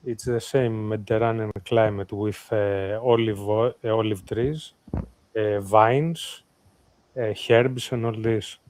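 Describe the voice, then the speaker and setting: A Greek man with a Greek accent, speaking English haltingly and searching for words, as a caller on a call-in show. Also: on the low side, steady tone, a little mellow, no echo. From Greece. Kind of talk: casual chat.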